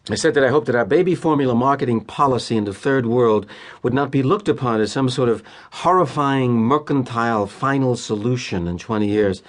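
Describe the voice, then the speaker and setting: An actor with an American accent playing a cynical, polished middle-aged corporate executive in an audio drama monologue, wry and deliberate with emphatic pauses. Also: male voice, mid-range voice, lightly acted. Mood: wry, confiding. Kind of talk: theatrical first-person dramatic monologue.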